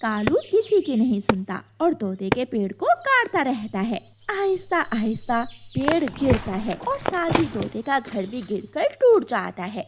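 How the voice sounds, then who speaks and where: Female narrator for children's tales: high voice, lively intonation, faint noise behind. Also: measured pace, hardly any pauses. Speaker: story narrator. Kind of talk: scripted children's story narration.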